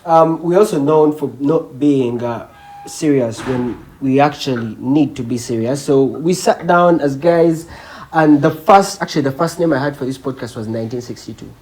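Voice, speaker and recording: A man's voice: medium pitch, normal speed, darkish tone, poor audio. A man with a Ugandan accent hosting a casual group podcast, chatting informally with his co-hosts. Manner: code-mixes English with Luganda. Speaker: podcast host.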